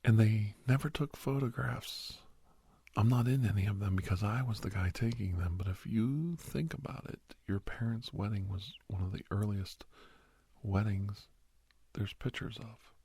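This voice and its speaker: A man playing a kindly older doctor in an ASMR roleplay, reminiscing and reassuring in a soft, breathy, gravelly whisper-talk. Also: on the low side, dry recording, full character voice. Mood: nostalgic. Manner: slow, rambling, gentle, chuckling storyteller.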